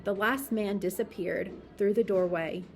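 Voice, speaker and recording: A woman reads a children's storybook aloud at a slow pace. Her voice sits at a medium pitch, and she gives phrases deliberate, dramatic emphasis. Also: a little mellow, faint music behind. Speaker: storybook reader.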